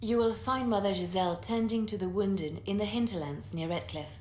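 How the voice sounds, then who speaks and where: An actress playing a poised, tactful advisor in a fantasy video game, speaking politely and with measured diplomacy. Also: female voice, mid-range voice, a little mellow, lightly acted. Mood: earnest. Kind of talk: video game cutscene acting. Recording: roomy echo, noisy ambience.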